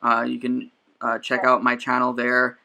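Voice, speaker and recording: A man's voice: medium pitch, completely flat delivery, moderate pace, creaky, crowd noise. A man in his twenties hosting a casual podcast chat, hesitant with many fillers. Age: 20s. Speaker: podcast host.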